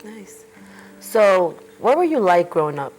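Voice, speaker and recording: A woman's voice: medium pitch, very animated, measured pace, a little mellow, soft background music, low-quality recording. Woman interviewer asking short questions. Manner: brief, plain questions. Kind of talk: oral history interview.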